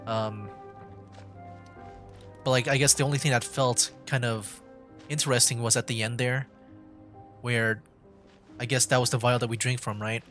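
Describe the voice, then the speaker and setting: A male game streamer with a medium-pitched voice, giving casual commentary. He muses aloud informally and hesitantly, with faint game music underneath. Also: steady tone, normal speed, long pauses, fairly bright tone. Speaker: livestreamer playing a video game.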